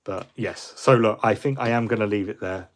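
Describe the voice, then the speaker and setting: A man's voice: fairly low pitch, normal speed. A middle-aged man, hosting a hobby livestream in a rambling, friendly way, full of ums and restarts, a little fluey. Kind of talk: casual livestream chat.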